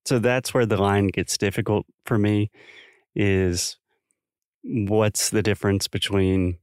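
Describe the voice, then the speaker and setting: A man's voice: on the low side, fairly even intonation, slow pace, darkish tone, clear, resonant tone, no room sound, studio quality. A man from South Carolina co-hosting a podcast, talking thoughtfully and explaining with examples in a casual chat. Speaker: podcast co-host.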